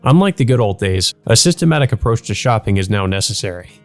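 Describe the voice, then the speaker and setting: A man's voice: on the low side, lively intonation, fast, faint music behind, lightly acted. Man reading as an easygoing domestic schoolboy. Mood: earnest, wry.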